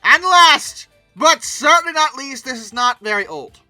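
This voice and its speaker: Animated, bright, forceful online commentator. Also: high-pitched, measured pace, no echo, faint music behind. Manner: expressive, exclamatory, emphatic. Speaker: host of a paleoart commentary channel. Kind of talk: casual reaction commentary.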